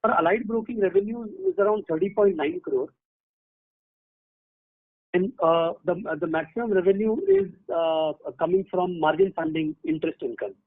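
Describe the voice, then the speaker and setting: A man with an Indian accent speaking formally and haltingly as a company executive presenting results on a conference call. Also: high-pitched, very animated, gravelly, no room sound. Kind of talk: prepared earnings call remarks. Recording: narrowband conference phone line.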